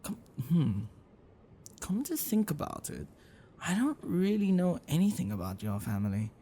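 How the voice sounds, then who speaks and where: A man playing a gentle, bookish dragon-blooded librarian boyfriend in an ASMR roleplay, speaking in a hesitant, caring way. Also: a little high, sing-song, normal speed, a little hiss or hum, voice pushed up. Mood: tender, concerned. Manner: hesitant, soft, caring, explanatory.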